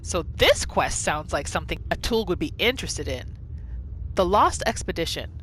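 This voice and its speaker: A woman's voice: on the low side, very animated, normal speed, fairly bright tone, low-quality recording. A woman giving casual game commentary on her roleplay playthrough, thinking aloud and exclaiming readily. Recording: clear noise behind the voice.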